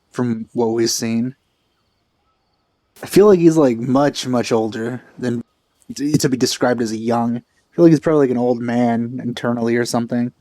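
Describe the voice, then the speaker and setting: Mellow man casually commenting on a game. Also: mid-range voice, no room sound. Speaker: let's player.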